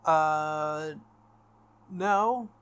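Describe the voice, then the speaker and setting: A man reading visual novel text aloud and commenting as he plays, with a halting, think-aloud delivery. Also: a little high, a bit bright, smooth. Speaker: playthrough host. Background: game music.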